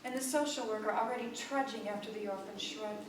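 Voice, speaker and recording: A woman giving expressive, theatrical narration in a live ensemble reading on a theater stage. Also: medium pitch, brisk. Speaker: reader. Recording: echoey hall, distant mic, noisy.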